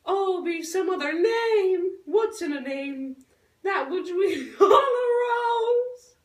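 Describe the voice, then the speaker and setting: A woman's voice: extremely high pitch, lively intonation, exaggerated voice. A woman voicing a yearning, dramatic teenage Juliet puppet, declaiming Shakespearean verse theatrically in an amateur school show. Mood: giddy, pleading. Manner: slow, theatrical declaiming with big swells. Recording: echoey room, far from the mic.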